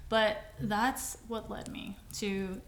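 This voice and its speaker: This is a woman co-hosting a faith podcast, speaking English as her second language after Vietnamese. She tells her story in a halting, heartfelt way with many restarts. The room is echoey and carries a faint background hum. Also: mid-range voice, fairly even intonation. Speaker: podcast co-host. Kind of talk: personal testimony in casual conversation.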